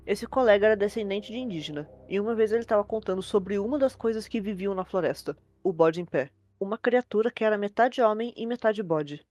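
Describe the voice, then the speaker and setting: Fast-talking Brazilian woman telling horror stories. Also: mid-range voice, a little mellow, dry recording. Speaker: narrator, host. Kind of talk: horror storytelling narration in casual colloquial language. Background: suspense background music.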